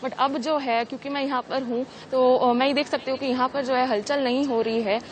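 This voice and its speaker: A high-voiced female field reporter speaks live from outdoors. Her phrasing is hesitant and circles back on itself. There is faint noise around her. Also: steady tone, a little fast. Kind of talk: live on-location news report. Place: outdoors on a street outside an apartment building. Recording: noisy outdoor live feed.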